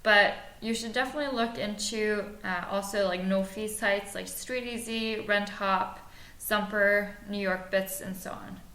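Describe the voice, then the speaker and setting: A woman in her twenties from Norway, co-hosting a podcast and giving practical advice in a measured way with frequent fillers. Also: medium pitch, steady tone, sharp tone. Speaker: podcast co-host. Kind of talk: scripted podcast chat. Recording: echoey room, faint noise.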